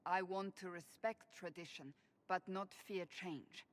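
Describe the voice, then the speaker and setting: Here is an actress giving voice to a proud, devout warrior woman from a video game scene. Her voice sits low and firm, and she weighs each earnest word with care. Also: measured pace, lightly acted.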